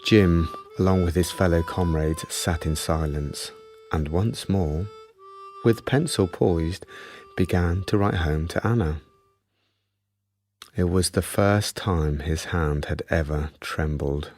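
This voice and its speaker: Man as a deep, solemn, slow war-drama narrator. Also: a little mellow, faint music behind. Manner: grave, deliberate.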